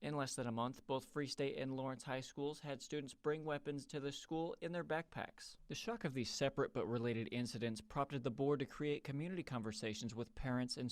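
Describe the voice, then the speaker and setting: A man's voice: mid-range voice, dry recording. A man with an American accent reading a scripted television news report as a field reporter.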